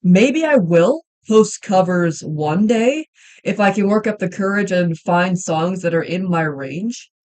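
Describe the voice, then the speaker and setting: A woman's voice: on the low side, expressive, measured pace, a little mellow. A young woman chatting casually on a livestream while she draws, rambling and hesitant, with many ums and restarts. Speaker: livestreamer and hobby voice actor.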